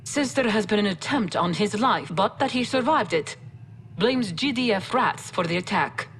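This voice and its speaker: A woman's voice: medium pitch, lively intonation, moderate pace, fairly bright tone, a little hiss or hum. A woman playing a composed, wry, familiar military officer giving a conversational briefing in a video game. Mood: measured, confiding.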